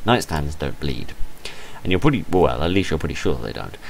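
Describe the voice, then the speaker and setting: Deep-voiced British man, theatrical game commentary. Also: sing-song, fairly quick, gravelly, a little hiss or hum, poor audio. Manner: theatrical, incredulous, hesitant asides. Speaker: host of a game playthrough. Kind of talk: gaming commentary, reading visual novel text aloud with ad-libbed reactions. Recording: music under the voice. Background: background music.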